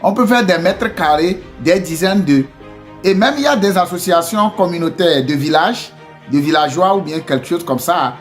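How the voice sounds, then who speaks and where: A man's voice: high-pitched, moderate pace, soft background music. A man from Togo with a Togolese French accent, giving a casual motivational talk to his community, hesitant with many fillers and restarts, then pressing with earnest rhetorical questions. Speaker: entrepreneur addressing his community.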